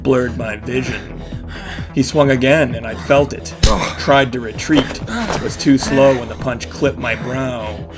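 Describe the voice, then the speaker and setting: Guy playing a beat-up vigilante telling his grim story, audio drama, tense choppy delivery, loud music behind. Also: mid-range voice, fairly even intonation, lightly acted. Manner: intense, clipped, halting phrases. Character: battered vigilante man narrating his own grim origin. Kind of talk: first-person dramatic audio drama narration.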